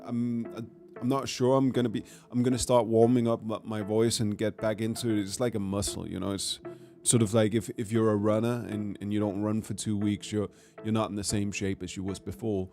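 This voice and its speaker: A man, hoarse after a cold, chatting casually to a livestream from his studio and thinking aloud with many pauses and fillers. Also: fairly low pitch, level tone, soft background music. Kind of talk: casual chat to a livestream audience. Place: music studio. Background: his own track playing quietly underneath.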